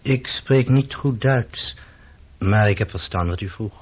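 A grave, courteous foreign airline clerk in a radio play. He has a low, husky voice and a deliberate manner. The recording sounds dull and old. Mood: courteous, formal. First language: not Dutch or German. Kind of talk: radio drama acting.

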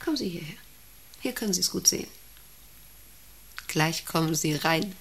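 A woman's voice: fairly low pitch, animated, normal speed, breathy edge. An actress in a German radio play playing an imperious, obsessive female factory boss with a haughty, commanding, brooding manner. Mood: solemn, intense.

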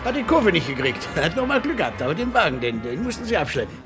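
Shifty male suspect, fast, lively, halting phrases, old mono radio play. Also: fairly high pitch, music in the background. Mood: rattled, chatty. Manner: halting, breaks off sentences. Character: shifty, evasive male suspect in a crime story. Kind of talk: vintage German radio crime drama acting.

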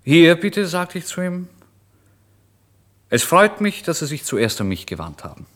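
A man's voice: a little high, sing-song, unhurried. An actor playing an impoverished, irritable and impulsive male writer, telling his story in a theatrical, emphatic radio monologue. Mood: effusive. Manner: theatrical, emphatic, swinging between hushed and loud. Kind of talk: literary first-person radio monologue, dramatised narration.

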